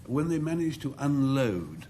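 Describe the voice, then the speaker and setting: A man's voice: medium pitch, big pitch swings, unhurried, warm tone, a little hiss or hum. A man captaining a team on a panel word game, drily joking and playful. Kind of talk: comic bluffing banter.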